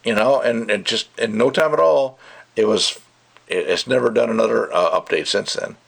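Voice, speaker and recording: A man speaks in a slightly low voice with plenty of gravelly creak. He rambles casually as an amateur reviewer, loose and conversational, and freely offers his opinions. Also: moderate pace, a bit bright.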